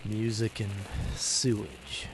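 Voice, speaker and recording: An actor playing a young speakeasy owner who is secretly a commanding, earnest vigilante in an old-time radio drama. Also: male voice, lowish voice, slow pace, over loud music, low-quality recording. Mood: musing. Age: young adult.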